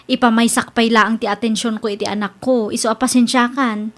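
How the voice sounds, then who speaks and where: Woman playing a young single mom in a radio drama, highish voice, apologetic but firm. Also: steady tone, brisk, close to an everyday voice. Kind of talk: Ilocano radio drama acting.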